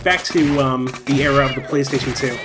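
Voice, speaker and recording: A man's voice: mid-range voice, a little fast. A man hosting casual gaming commentary with a wry, hesitant way of talking. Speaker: Let's Play host. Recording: music playing behind the voice. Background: video game music.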